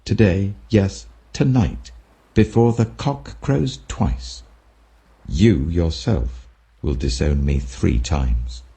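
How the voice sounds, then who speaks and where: A British man acts out a grave male teacher of great authority in a dramatised scripture reading. The voice is low and gravelly, rising and falling expressively. Each phrase is solemn, deliberate and emphatic. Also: lively intonation, mellow, full character voice. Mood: grave, certain.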